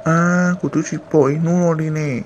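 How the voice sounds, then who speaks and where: A man voicing an adult man in a folk-tale cartoon, with drawn-out calls. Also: a little high, fairly even intonation, lo-fi sound, a little hiss or hum, close to an everyday voice. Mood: calling. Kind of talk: cartoon voice acting.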